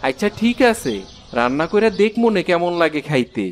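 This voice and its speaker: A man's voice: a little high, big pitch swings, moderate pace, a little hiss or hum. A man voicing a demanding village farmer in a cartoon, with a rural eastern Bengali accent. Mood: agreeable. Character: demanding village farmer, grown son and husband. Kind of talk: cartoon character acting.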